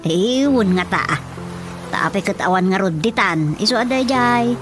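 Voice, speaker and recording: A woman's voice: mid-range voice, expressive, measured pace, lightly acted. A woman acting a fretful, complaining village woman with an Ilocano accent in a radio drama comedy. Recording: music bed behind the voice.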